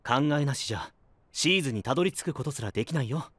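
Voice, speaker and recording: A calm, husky young knight. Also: male voice, medium pitch, fast, no room sound. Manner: steady, reasoning, gently guiding. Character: calm level-headed young male knight. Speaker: voice actor. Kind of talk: anime voice drama.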